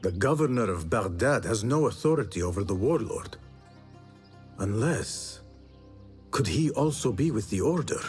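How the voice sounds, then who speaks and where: An actor playing a solemn, resolute assassin in a video game, controlled and earnest. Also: male voice, fairly low pitch, expressive, moderate pace, natural voice. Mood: controlled, grave. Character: solemn, resolute male assassin of a secret order. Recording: loud music behind the voice.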